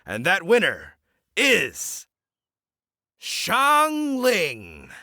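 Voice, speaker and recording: Grandiose male judge for a game, slow and sharp, theatrical, excitable. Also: high voice, no echo, studio quality, full character voice. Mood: grand, impressed. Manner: theatrical, drawn-out, effusive.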